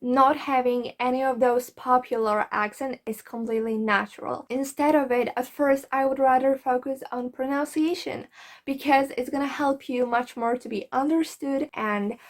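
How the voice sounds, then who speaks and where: A woman's voice: sits high, fairly even intonation, normal speed. A woman from Poland, a Polish native speaker with a Polish accent, giving frank, earnest language-learning advice straight to camera in a vlog. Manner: earnest, frank, occasionally swears when fired up. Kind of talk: direct-to-camera advice talk.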